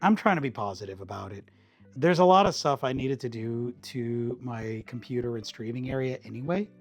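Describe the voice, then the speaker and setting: A slightly hoarse man chatting casually with viewers while cooking in his home kitchen, rambling in an easygoing way and chuckling often. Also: medium pitch. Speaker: streamer.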